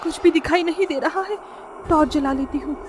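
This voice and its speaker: A woman voicing a very high-pitched frightened young woman. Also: a little fast, background noise, natural voice. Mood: nervous. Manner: panicky, pleading. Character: frightened young woman fleeing ghost witches. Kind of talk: Hindi horror cartoon dubbing.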